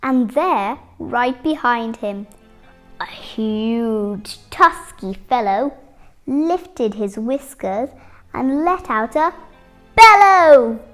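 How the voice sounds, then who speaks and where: An eight-year-old girl reading a children's picture book aloud, expressively and with deliberate pauses. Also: a little high, expressive, fairly bright tone, faint music behind. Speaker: young storybook reader.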